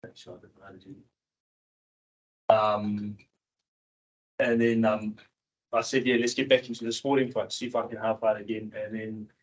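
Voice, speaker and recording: A man from South Africa who moved to New Zealand in his teens, giving a candid, informal personal talk to an audience in a halting, repetitive way. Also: lowish voice, steady tone. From South Africa, moved to New Zealand in his early teens. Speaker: seminar speaker, cricket coach and former professional cricketer. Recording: dull, muffled seminar recording.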